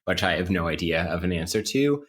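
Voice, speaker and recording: A man's voice: sits low, lively intonation, fairly quick. A man talking casually and analytically on a podcast, wry and academic, circling around his points as he explains. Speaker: podcast co-host playing interview guest.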